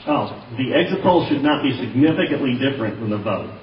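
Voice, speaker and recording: Distant, echoing audio of a man testifying at a hearing. He talks quickly in a medium-pitched voice and gives blunt, plain answers as a witness. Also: animated. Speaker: witness testifying on voting software. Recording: roomy, distant, dull lo-fi hearing audio, faint noise.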